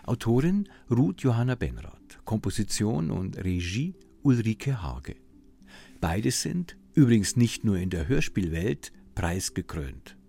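Male radio presenter, low voice, measured formal delivery, light music underneath. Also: big pitch swings, vocal fry, warm tone. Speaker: radio host and interviewer. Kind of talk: scripted radio introduction and interview.

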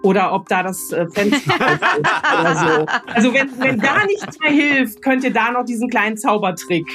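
A woman's voice: fairly high pitch, expressive, normal speed, over music. A woman giving spontaneous, encouraging advice as a guest writer, often searching for her words. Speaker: guest radio drama author giving advice. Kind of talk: spontaneous advice in casual conversation.

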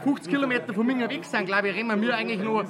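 Quick-talking Upper Bavarian man in a busy inn. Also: very high-pitched. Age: 30s. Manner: chatty, rambling, laughs often. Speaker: interviewee, regulars' table member. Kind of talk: casual interview chat. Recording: crowd chatter in a busy inn.

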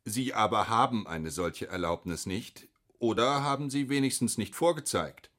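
A man's voice: lowish voice, normal speed, a bit bright, close to an everyday voice. An actor playing a scornful, officious young man, a castle steward's son, in a radio drama. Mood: cold, officious. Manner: haughty, reprimanding. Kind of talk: German radio drama acting.

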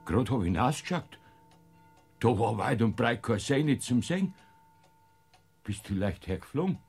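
An actor in a classic radio play playing a stubborn, crafty old Bavarian man who talks gruffly and coaxes cheerfully. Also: mid-range voice, very animated, moderate pace, a little mellow, faint music behind, exaggerated voice. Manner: gruff, then jovially coaxing.

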